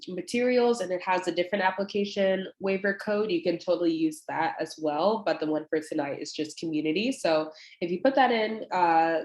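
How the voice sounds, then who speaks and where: Young woman, stuffy nose, congested, low-ish voice, casual, friendly, filler words, echoey room, computer mic. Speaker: admissions representative. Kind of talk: walking through an online application on a screen share. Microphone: computer mic on a video call.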